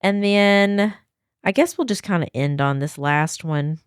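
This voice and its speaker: A woman hosts a casual vlog in a low, smoky voice that sounds worn out. Her delivery is tired and informal, and the recording is close and dry. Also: unhurried, clean tone, no echo. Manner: low-energy, informal teasing. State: tired, voice strained. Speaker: vlog host quizzing friends. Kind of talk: casual chat.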